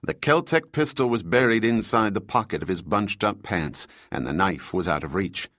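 Low-voiced male thriller narrator. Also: animated, moderate pace, a bit bright, no room sound, studio quality. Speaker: audiobook narrator.

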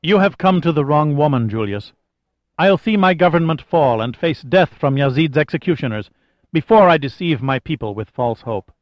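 A man's voice: mid-range voice, animated, moderate pace, no room sound, highs cut off, natural voice. A man voicing a proud, principled Egyptian woman official in an audiobook novel, blunt, formal and emphatic. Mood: defiant, indignant.

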